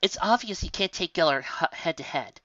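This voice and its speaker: Woman as an earnest, bright-voiced young pony. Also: lowish voice, lively intonation, brisk, dry recording, highs cut off, pitched above the performer's own voice. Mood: doubtful. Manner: reasoning, questioning. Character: earnest, practical, thoughtful young female pony.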